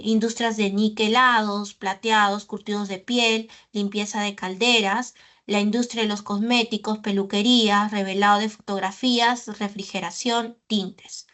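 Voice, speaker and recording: A woman pulmonologist giving a medical lecture, explaining carefully and often pausing on filler sounds and tag questions. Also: mid-range voice, a bit bright. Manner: didactic, with frequent "¿no?" tags and "eh" fillers.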